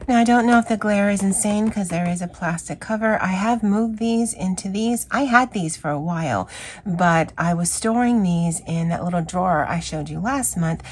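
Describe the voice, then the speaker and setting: A hoarse woman with a scratchy voice hosting a chatty, rambling coloring vlog. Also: on the low side. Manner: chatty, rambling, with fillers and self-corrections. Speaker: craft channel host. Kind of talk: casual coloring vlog chat.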